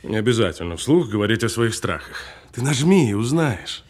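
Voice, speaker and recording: Audio-film detainee, sardonic and unflappable, husky edge, very varied intonation, deliberate needling. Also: male voice, on the low side, big pitch swings. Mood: mocking, menacing. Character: cool, taunting detained male actor bluffing a policeman. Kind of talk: naturalistic audio film drama acting.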